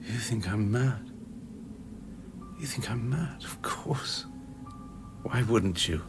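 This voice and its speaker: Slow, creaky, haunted scientist. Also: male voice, on the low side. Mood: ominous, wary. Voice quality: breathy, creaky, often half-whispered. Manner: halting, guarded, ominous. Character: haunted, cagey male scientist giving cryptic warnings. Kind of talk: radio drama acting. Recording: music playing behind the voice.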